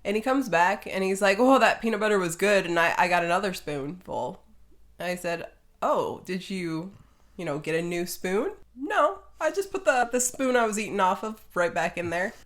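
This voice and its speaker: A woman's voice: mid-range voice, expressive, moderate pace. A middle-aged woman co-hosting a podcast, chatting and telling anecdotes in a casual, matter-of-fact way. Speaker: podcast co-host.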